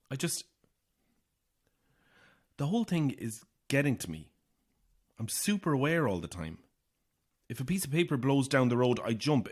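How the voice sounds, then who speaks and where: An anxious young irish guy, edgy and defensive, bit sardonic, natural horror podcast drama acting. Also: medium pitch. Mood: strained, weary. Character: anxious, isolated, defensive young man on a ghost estate.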